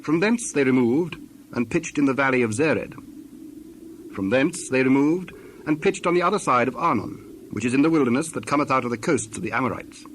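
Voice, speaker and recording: A male narrator reads dramatized scripture in a solemn, measured way. His pitch is middling and his phrases are short and formal. A faint ambient bed with birds sits behind him. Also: expressive, a little hiss or hum.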